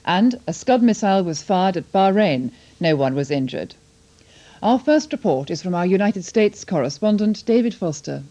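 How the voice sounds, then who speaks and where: British woman newsreader, dark low-set voice. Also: lively intonation, moderate pace, muffled recording. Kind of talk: scripted news reading.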